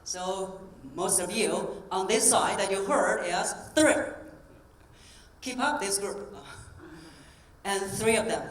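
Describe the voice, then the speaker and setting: A woman voice coach giving an energetic keynote talk, coaxing the audience through exercises on stage. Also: mid-range voice, slow, pauses often, a little hiss or hum. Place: large conference hall on stage. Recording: roomy hall, distant mic, faint ambience.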